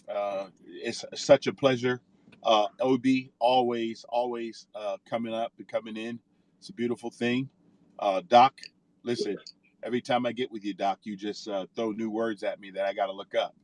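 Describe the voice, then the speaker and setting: Cheerful male chatter, teasing, middle pitch, dry recording. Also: flat delivery, normal speed, no echo, low-quality recording. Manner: upbeat, joking, on the go. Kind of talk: casual live-stream chat.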